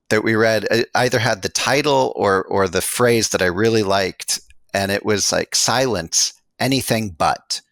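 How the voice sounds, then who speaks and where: A man's voice: lowish voice, creaky, a bit bright, no room sound. An American man, a guest author in an interview, explaining his ideas in a reflective way. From United States. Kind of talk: interview conversation.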